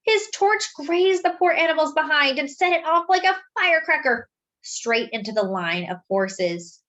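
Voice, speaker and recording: A woman reading a children's story aloud in an expressive, dramatic, deliberate way, as a storyteller speaking to young listeners. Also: high-pitched, muffled recording.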